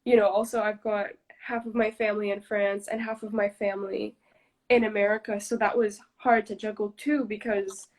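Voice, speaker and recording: A young woman guest on a video call, speaking in a relaxed, reflective way with lots of "like" and "you know.". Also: mid-range voice, moderate pace. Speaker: interview guest. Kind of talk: casual interview chat.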